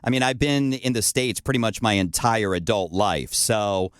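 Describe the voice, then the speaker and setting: A man's voice: normal speed, clean tone, no room sound, studio quality. A man in his thirties, born in Montreal but with no accent, hosting an upbeat interview and laughing often. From Montreal, Canada. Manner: animated, laughs often, high-pitched laughter. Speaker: podcast host.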